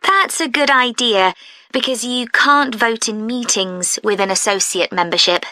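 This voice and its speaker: A woman playing a friendly, efficient club receptionist, asking clear, helpful questions in a scripted listening-test dialogue. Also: on the high side, a little slow, bright, forceful tone, no echo. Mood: helpful, interested.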